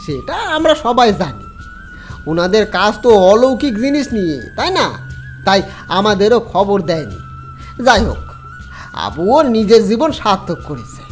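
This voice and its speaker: A man's voice: sits high, very animated, unhurried, pauses often, soft background music. Man playing a resigned, consoling village elder. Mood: solemn, consoling.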